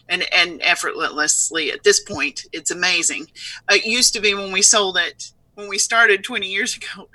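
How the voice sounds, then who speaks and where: Upbeat sales lady on a video call, super bright voice, dry sound. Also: mid-range voice, dry recording, low-quality recording. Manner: upbeat, salesy, uses homely comparisons. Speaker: CEO of a speech software firm, guest. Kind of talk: casual interview over video.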